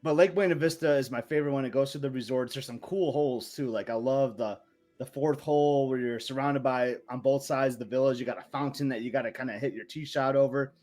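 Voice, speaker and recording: A male podcast co-host talks in a chatty, enthusiastic way, piling on stories and asides. His voice sits at an ordinary middle pitch for a man. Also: fast talker, a little mellow, faint music behind. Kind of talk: casual chat.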